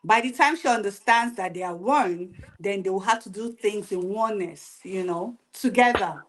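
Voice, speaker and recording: A woman's voice: mid-range voice, moderate pace, a bit bright. Earnest woman arguing her view. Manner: earnest, argumentative. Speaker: panelist. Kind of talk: opinionated panel chat.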